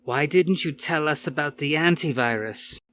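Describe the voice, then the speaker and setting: Man voicing a defiant, insistent heroine, pitched up. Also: normal speed, bright, forceful tone. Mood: demanding. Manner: insistent, emphatic. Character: determined, livid woman heroine, pleading and defiant.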